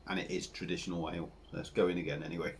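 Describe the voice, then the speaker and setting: A man in his forties with an English accent, chatting casually to camera as he reviews a beer at his home bar, rambling and relaxed with lots of fillers. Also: low-pitched, rapid-fire, slight background noise. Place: home bar room with dartboard and signs.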